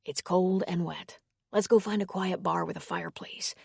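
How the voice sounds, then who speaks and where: A woman's voice: on the low side, normal speed, gravelly, husky, no echo, studio quality, full character voice. A woman reading as an earnest former priest. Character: gentle middle-aged man, former priest, earnest. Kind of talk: audiobook character reading.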